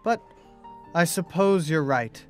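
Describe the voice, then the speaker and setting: A man's voice: a little high, animated, takes it slowly, faint music behind. An actor playing a mafia hitman who poses as a rookie police officer in a radio drama, slyly calculating. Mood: smug menace. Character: mafia hitman posing as nervous rookie cop, slyly menacing.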